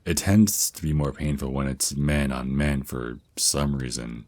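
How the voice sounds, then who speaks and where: A man's voice: a bass voice, fairly even intonation, unhurried, a little mellow. A man playing a tender, reassuring vampire naga mentor and boyfriend in an ASMR roleplay, speaking soothingly and affectionately. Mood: gentle, encouraging.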